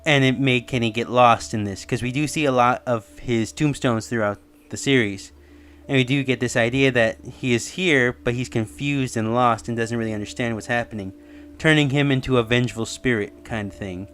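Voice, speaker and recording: A man's voice: mid-range voice, normal speed, a bit bright, clear, resonant tone. A man giving casual, rambling explanatory commentary as the host of a theory video. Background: music under the voice.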